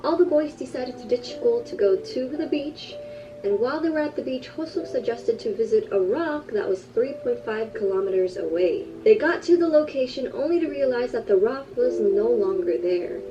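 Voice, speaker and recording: A woman giving a scripted narration in an even, measured storytelling voice. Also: fairly high pitch. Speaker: video narrator. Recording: muffled distant playback with music. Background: background music.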